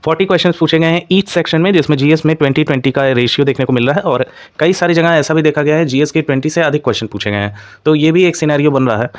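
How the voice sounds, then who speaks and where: A man's voice: a little high, rapid-fire, no echo. A man presenting an informal exam analysis to online viewers, mixing in English terms and leaning on repeated filler phrases. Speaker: exam-prep channel presenter.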